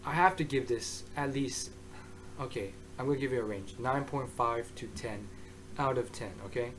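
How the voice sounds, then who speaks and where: A man with a mid-range voice talks through a review in a loose, excitable way, circling back and restarting his thoughts. His voice is hoarse. He sounds like a regular host speaking straight to his viewers. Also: mid-range voice, normal speed, slight background noise, poor audio. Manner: excitable, rambling, with many restarts. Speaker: manga reviewer. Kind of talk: casual review monologue.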